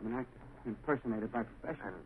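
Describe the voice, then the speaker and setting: An actor in a 1930s radio drama playing a bitter, dying impersonator, halting and gasping as his strength fails. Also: medium pitch, natural voice. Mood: weak, urgent. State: weak and failing, coughing. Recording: tinny, noisy 1930s radio recording.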